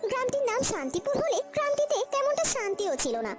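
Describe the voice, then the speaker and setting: Very high-pitched animated woman storyteller. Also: quick pace, loud music behind the voice. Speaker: narrator. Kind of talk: dramatic scripted cartoon story narration.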